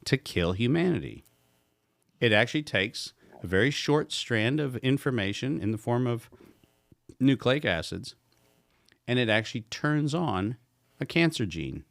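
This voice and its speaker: A man's voice: mid-range voice, animated, measured pace, vocal fry, long pauses, dry recording. Emphatic man, dry close sound. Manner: emphatic, rhetorical questions. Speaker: guest expert. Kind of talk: podcast conversation.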